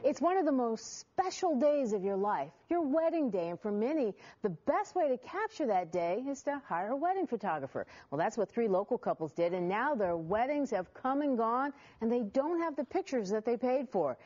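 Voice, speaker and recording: A woman's voice: fairly high pitch, very animated, normal speed, hardly any pauses, dark tone, highs cut off. A middle-aged American woman anchoring a newscast, reading a scripted introduction in a TV studio. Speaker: news anchor. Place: TV news studio.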